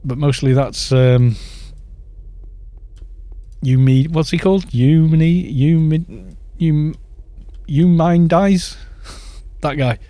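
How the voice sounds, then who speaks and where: A man's voice: on the high side, slow, dark tone, no echo. A British man in his fifties chatting in a rambling way while streaming a game and reading out viewer chat. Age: 50s. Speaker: gaming streamer.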